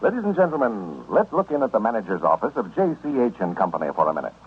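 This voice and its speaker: A man's voice: lowish voice, sing-song, fast talker. A man announcing in a scripted 1930s radio style, addressing listeners as the show's announcer. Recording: tinny, noisy 1930s radio recording.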